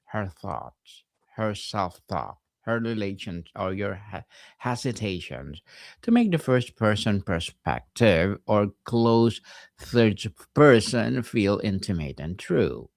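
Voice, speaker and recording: Slow, deliberate low-voiced male host. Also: expressive, vocal fry, dry recording, studio quality. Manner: slow, deliberate, reads prepared questions. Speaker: podcast host. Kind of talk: podcast interview.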